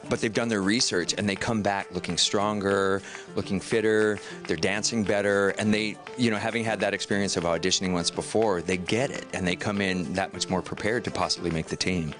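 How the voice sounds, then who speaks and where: A man speaks as a dance audition judge, his voice on the low side and his manner enthusiastic as he explains. Music plays clearly under him. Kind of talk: interview. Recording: music playing under speech.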